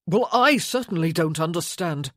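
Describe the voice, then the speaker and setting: A woman reading a novel, voicing a guilt-ridden, defensive man who talks in a terse, halting, desperate way. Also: on the low side, animated, a little mellow, breathy edge, no echo, studio quality, exaggerated voice. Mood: defensive, tense.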